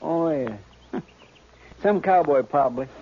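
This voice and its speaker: An actor playing a folksy, good-natured, earnest American cowboy sidekick in a 1950s radio western. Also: male voice, a little high, lively intonation, a little slow. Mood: curious. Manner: folksy, plain-spoken, literal-minded. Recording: muffled, noisy vintage radio recording.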